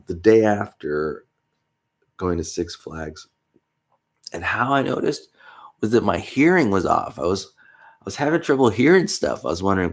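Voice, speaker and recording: A man's voice: fairly low pitch, gravelly. A man vlogging in a rambling, casual way, congested with a stuffy nose, with many fillers and small chuckles.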